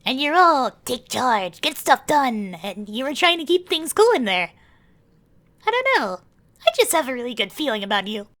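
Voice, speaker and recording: A young woman acting an earnest, friendly and informal young queen in an expressive fan dub. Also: high voice, moderate pace. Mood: enthusiastic.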